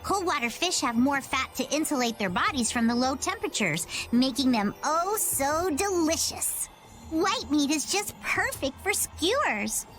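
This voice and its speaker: A high, lively young pirate girl in an anime game. She is plucky and cocky, a little know-it-all, with melodic swings in her speech. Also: a little slow, faint music behind, full character voice. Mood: relishing. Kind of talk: anime video game dub acting.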